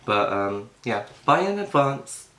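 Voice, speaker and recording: A young British man chatting casually and self-deprecatingly to camera, clearing his throat now and then. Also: medium pitch, sing-song, takes it slowly, sharp tone, reverberant. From Britain. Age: young adult. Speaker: vlogger. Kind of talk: casual mukbang chat.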